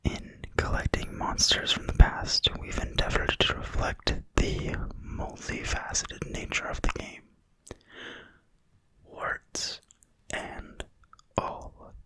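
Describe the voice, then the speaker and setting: Breathy, very slow ASMR whisperer. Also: rough recording. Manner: quiet, slow, deliberate phrasing. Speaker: ASMR video host and reader. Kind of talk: whispered ASMR reading of a game manual.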